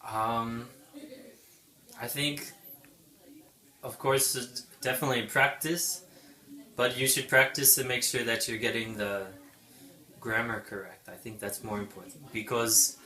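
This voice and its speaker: A man in his thirties from New York State, an English teacher answering interview questions in a relaxed, thoughtful way. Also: mid-range voice, unhurried, long pauses, roomy sound. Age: 30s. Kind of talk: casual street interview answers.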